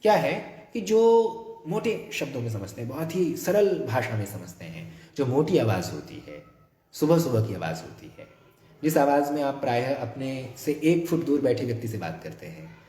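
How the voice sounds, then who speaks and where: Hindi-speaking male lecturer, lively pitch. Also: reverberant. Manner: explains slowly, sings scale notes to demonstrate pitch. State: tired throat. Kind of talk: academic lecture with sung examples. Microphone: phone about a foot away.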